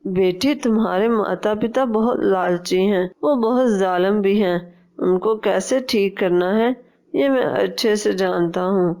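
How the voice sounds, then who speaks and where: Woman as a slow, assured holy hermit. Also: medium pitch, dark tone, natural voice.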